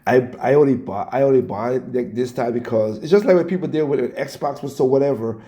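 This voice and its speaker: A man with a scratchy, hoarse voice and a dark, mellow tone rants casually, blunt and profane. He trips over his words and repeats himself as he goes. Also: medium pitch, a little fast, highs cut off. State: hoarse, coughing. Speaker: commentator reacting to clips. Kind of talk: casual rant.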